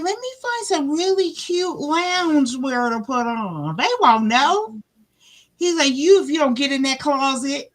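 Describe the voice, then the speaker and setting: A woman with a big, loud voice, a guest talking about her faith in a lively way and acting out her own conversations. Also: high-pitched, poor audio. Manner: animated, plays out her own dialogue. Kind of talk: faith testimony chat.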